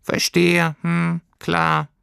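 A man voicing a small fluffy storybook creature, a mournful know-it-all with a squeaky, muffled voice. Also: completely flat delivery, extremely slow, creaky, fairly bright tone, clear, resonant tone, dry recording, studio quality, full character voice. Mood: sad, disappointed. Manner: pedantic, plaintive. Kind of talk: children's storybook character voice.

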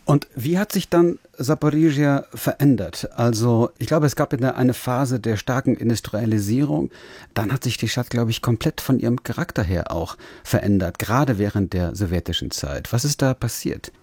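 A man hosting a radio interview, calm and measured, who also interprets his guest's answers. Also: medium pitch, husky, no echo. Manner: calm, measured, speaks German and Russian.

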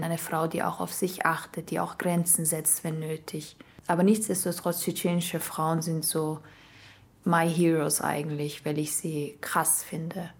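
A woman talking earnestly about herself as an interviewee. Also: low voice. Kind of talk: personal talk.

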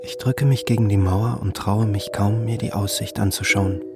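A man's voice: lowish voice, fairly even intonation, moderate pace, over loud music. A man reading a literary travel diary as scripted narration, in clipped, terse phrases.

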